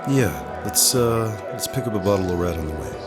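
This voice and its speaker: A man's voice: lowish voice, lively intonation, fairly quick, over music, lightly acted. A man playing a troubled, affectionate boyfriend in a radio drama. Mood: relaxed.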